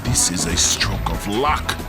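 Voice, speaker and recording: A man playing a gruff, scheming, hungry sailor in a comedy radio drama. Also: low voice, lively intonation, takes it slowly. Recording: loud music bed behind.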